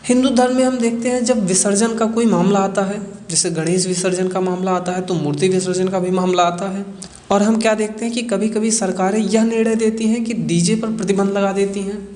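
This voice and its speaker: A man's voice: high-pitched, level tone, a little fast, a little mellow. Echoey man explaining like a teacher. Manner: didactic, asks rhetorical questions and answers them. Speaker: educational explainer presenter. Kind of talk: explanatory lecture on current affairs. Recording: echoey reverberant room.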